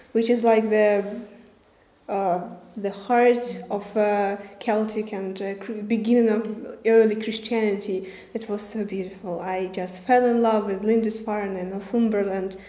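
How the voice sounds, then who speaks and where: A young woman, a film director answering questions in a halting way, searching for words with many fillers. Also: medium pitch, steady tone, warm tone. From Ukraine. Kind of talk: festival Q&A answers. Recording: roomy with faint music behind.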